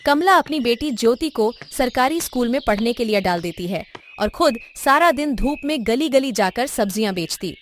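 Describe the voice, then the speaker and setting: A woman's voice: a little high, lively intonation, moderate pace, slight background noise. A woman narrator reading a scripted moral story.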